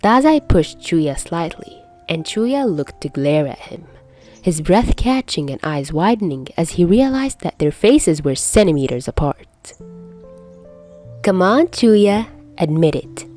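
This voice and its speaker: A woman reading fan fiction aloud as an expressive amateur audiobook narrator. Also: low voice, unhurried, soft background music.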